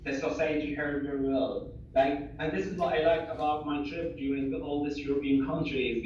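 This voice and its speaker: A man's voice: on the high side, darkish tone, distant mic, muffled recording, slight background noise. Man on an echoey video call. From Syria. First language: Arabic. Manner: earnest, many fillers, restarts phrases. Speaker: interviewee, refugee and former assistant doctor. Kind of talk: casual conversational interview. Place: home in Germany.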